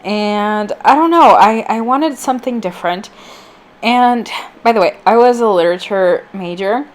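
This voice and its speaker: A woman's voice: mid-range voice, moderate pace. Chatty woman vlogger with allergy-affected voice. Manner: informal, rambling, self-deprecating, filler-heavy. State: slightly off voice from allergies. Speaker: vlogger, channel host. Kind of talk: casual chatty voice-over for a craft video.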